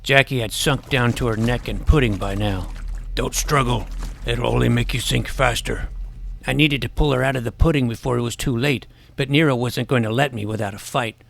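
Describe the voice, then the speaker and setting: A man playing an earnest, nerdy schoolboy superhero who narrates his own adventure in a deadpan first-person style. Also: medium pitch, moderate pace, creaky, slight background noise. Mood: defiant. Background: music.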